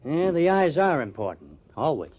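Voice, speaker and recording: An actor playing a cocky young newspaper artist with a sardonic, teasing manner in an old-time radio crime drama. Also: male voice, on the high side, very animated, moderate pace. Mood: wry, amused. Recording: dull lo-fi old radio recording.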